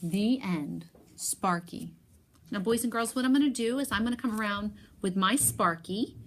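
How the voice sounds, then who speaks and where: Female primary teacher for children's read-aloud. Expressive storytelling delivery, emphatic pauses, mid-range voice. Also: mid-range voice, slight background noise. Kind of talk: animated picture-book read-aloud and talk to pupils.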